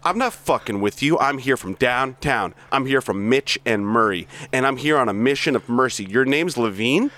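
A man's voice: medium pitch, animated, normal speed, hardly any pauses, bright, forceful tone, pitched above the performer's own voice. A man reading aloud as a brash, abusive male sales boss, berating his staff and swearing, at times stumbling over the script. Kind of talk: amateur reading of a scene.

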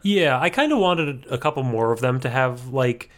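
Male podcast co-host, mid-pitched and very animated, riffing comedically in loose, casual conversation. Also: normal speed. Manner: joking, riffing with mock voices. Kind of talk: casual comedic chat about cartoons.

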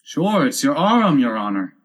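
A man's voice: mid-range voice, big pitch swings, moderate pace. A man voicing a plodding, deferential storybook servant. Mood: humble, stubborn. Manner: deferential, simple. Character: humble, plodding Irish servant Pat.

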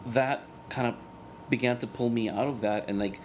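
A man in his thirties whose first language is Spanish, speaking as a guest in a candid interview, earnest and reflective, often halting and restarting. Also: medium pitch, steady tone, a little mellow, a little hiss or hum. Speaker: guest, cartoonist and organizer.

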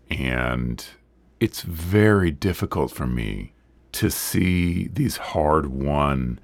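A man with an extremely deep, gravelly voice, still hoarse and struggling to enunciate after being sick. He talks in an earnest, rambling, conversational way as the host of a solo podcast, recorded dry and close with no room sound. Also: no echo. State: hoarse, recovering from illness.

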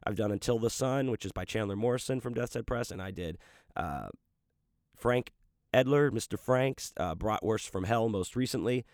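A man's voice: fairly low pitch, normal speed, a little mellow, dry recording, studio quality. A man hosting a podcast in loose, rambling casual chat, profane and full of restarts, talking about his audiobook narration work.

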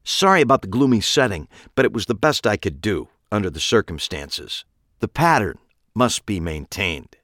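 A man's voice: fairly low pitch, big pitch swings, normal speed, fairly bright tone, no echo, studio quality. A male narrator voicing a smug, menacing killer who lectures his captives in a taunting manner. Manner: taunting, lecturing, enjoys explaining. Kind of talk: audiobook character reading.

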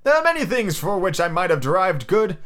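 A man's voice: high voice, big pitch swings, brisk, fairly bright tone, voice pushed up. A man voicing a cheerful, earnest young nephew from a storybook, eager and pleading in manner. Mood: earnest, heartfelt. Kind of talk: storybook character reading.

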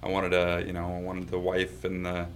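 Laid-back low-voiced man. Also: monotone, moderate pace, a little hiss or hum. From Washington state, US. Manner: laid-back, laughs easily. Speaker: company founder, guest. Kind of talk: casual storytelling. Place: his office.

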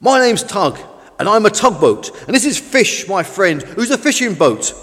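Man doing a high-pitched friendly tugboat, long reverb. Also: big pitch swings, normal speed, a bit bright. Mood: eager, friendly. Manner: earnest, encouraging. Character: friendly, cheerful, slightly nervous male tugboat.

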